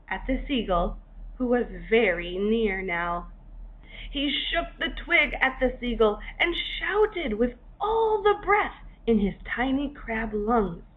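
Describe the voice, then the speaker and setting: A woman reads a children's story slowly and expressively, pausing between short phrases for drama. Her voice is fairly high and swings widely in pitch. The recording is a little dull. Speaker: author reading own story. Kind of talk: children's story narration for young learners. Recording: dull lo-fi recording, faint noise.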